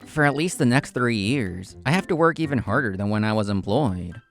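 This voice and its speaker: A man's voice: mid-range voice, expressive, a little fast, soft background music, natural voice. A man playing a proud, competitive young consultant in a manga drama, intense and curt, narrating and speaking his lines. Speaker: narrator and main character.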